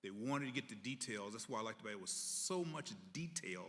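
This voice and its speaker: A man's voice: lowish voice, animated, fairly quick, fairly bright tone. A man, a chaplain, giving an emphatic, enthusiastic unscripted comment at a live event in a large hall. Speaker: hospital chaplain, discussion participant. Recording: echoey hall, long reverb.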